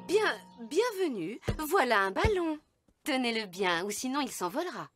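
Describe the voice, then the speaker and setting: A woman's voice: sits high, sing-song, moderate pace. A woman voicing a cheerful, fussy mother in a French cartoon dub, with a singsong, gushing hostess manner. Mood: cheerful, welcoming. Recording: music behind the voice.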